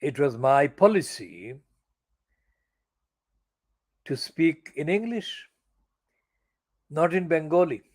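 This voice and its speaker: An older man delivering an angry, profane political monologue as a channel editor, stressing words slowly and deliberately. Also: fairly high pitch, animated. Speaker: channel editor giving commentary.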